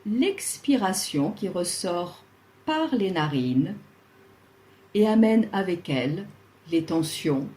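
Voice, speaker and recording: A woman leading a guided yoga relaxation, speaking soothingly and leaving long pauses between short instructions. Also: fairly low pitch, sing-song, warm tone.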